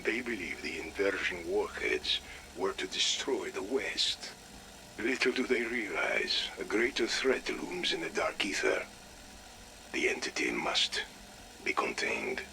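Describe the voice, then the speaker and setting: Calculating military commander, forceful gravelly voice, animated pitch, grave and ominous, game audio log, faint noise. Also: male voice, a little slow, full character voice, poor audio. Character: stern, calculating male military intelligence commander dictating a log.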